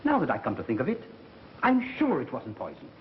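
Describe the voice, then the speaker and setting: An actor playing a cautious, insinuating doctor in a 1930s mystery film, speaking in formal, measured phrases. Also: male voice, mid-range voice, huge pitch swings, slight background noise. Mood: evasive. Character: smooth, cautious, insinuating male doctor under suspicion. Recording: muffled 1930s film soundtrack with music.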